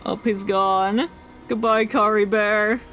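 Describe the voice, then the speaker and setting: A woman's voice: mid-range voice, fairly even intonation, takes it slowly, fairly bright tone. A woman streaming a game, giving casual, playful and emotive live reactions to the story. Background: game music.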